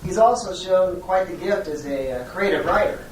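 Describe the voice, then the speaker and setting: Diplomatic schoolteacher, role-played, careful and halting delivery, mid pitch, recorded at a distance in an echoey room. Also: close to an everyday voice. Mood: friendly. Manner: hesitant, measured, diplomatic, frequent pauses. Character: polite, diplomatic schoolteacher phoning a parent. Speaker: teacher. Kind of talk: role-played phone call demonstration. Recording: echoey room, distant mic, faint noise.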